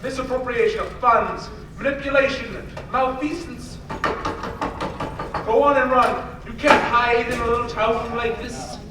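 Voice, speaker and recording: Bitter old male banker villain, acted by a man, high forceful voice, radio-play menace, echoey distant hall. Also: fairly even intonation, measured pace, background noise. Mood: gloating, threatening. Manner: scornful, taunting. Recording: echoey hall, distant mic, faint music.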